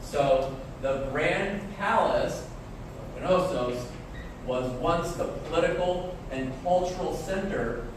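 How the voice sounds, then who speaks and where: A man teaching a class, explaining words slowly and clearly and praising students often. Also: a little high, background noise. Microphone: camera far from the speaker. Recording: echoey classroom from far off.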